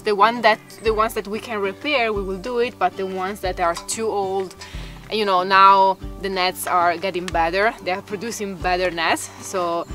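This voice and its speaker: Brief: thirties woman, Italian native, enthusiastic outdoor vlog, bright tone. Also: medium pitch. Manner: upbeat, enthusiastic. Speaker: vlogger, homesteader. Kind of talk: casual vlog talk to camera. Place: outdoors in an olive grove by the sea.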